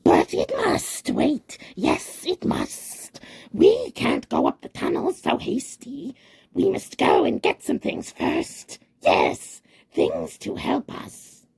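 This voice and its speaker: A woman reading a storybook and voicing a slimy, wretched male cave creature who hisses and whispers, lurching between squeaky highs and low growls. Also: huge pitch swings, slow, darkish tone, full character voice. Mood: wheedling. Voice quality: hissing, raspy, whispery.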